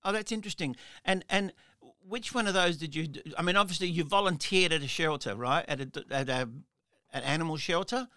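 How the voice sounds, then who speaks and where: A man's voice: a little high, fairly quick, pushed and bright, smooth, no echo, clean studio sound. A man hosting a casual interview, asking rambling questions full of restarts and little laughs. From Australia. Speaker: interview host.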